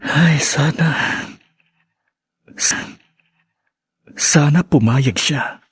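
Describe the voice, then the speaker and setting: A man playing a sincere, gentle and self-deprecating young man in an audio drama, speaking haltingly and from the heart. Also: on the high side, slightly rough, no echo, full character voice. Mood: yearning. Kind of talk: melodramatic radio-style drama acting.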